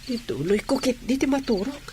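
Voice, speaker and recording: A woman's voice: a little high, sing-song, fairly quick, noisy background. A woman with an Ilocano accent acting as a middle-aged provincial housewife and attentive wife in a radio drama. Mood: questioning, uneasy.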